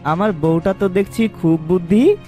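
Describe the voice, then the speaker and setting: A woman's voice: fairly low pitch, normal speed, warm tone, lightly acted. A woman voicing a naive, easygoing young village husband in a cartoon. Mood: curious, pleased. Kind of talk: cartoon character acting. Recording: music behind the voice.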